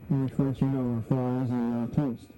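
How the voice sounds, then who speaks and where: A man co-hosting a wrestling talk show, chatting casually, laughing often and filling pauses with "uh". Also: mid-range voice, level tone, music in the background, poor audio. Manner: chatty, laughs often, heavy use of "uh" fillers. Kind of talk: casual commentary chat.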